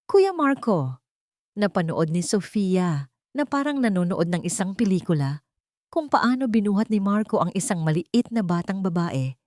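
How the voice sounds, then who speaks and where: A woman's voice: mid-range voice, a little mellow, dry recording, clean studio sound. A woman reading scripted dramatic narration as the storyteller of a romantic tale.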